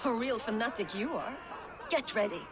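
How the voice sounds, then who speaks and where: An actress playing a guarded, wry recruiter for secretive archers in a video game, brisk and challenging. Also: female voice, a little high, animated, crowd noise, noisy background, lightly acted. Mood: guarded challenge. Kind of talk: dramatic video game acting. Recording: loud outdoor street ambience behind voice.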